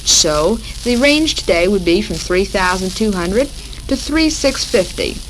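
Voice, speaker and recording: An American woman hosting a 1940s radio program for housewives, reading a script in a measured way. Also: medium pitch, expressive. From United States. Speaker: radio show host. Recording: noisy, crackling 1940s radio recording.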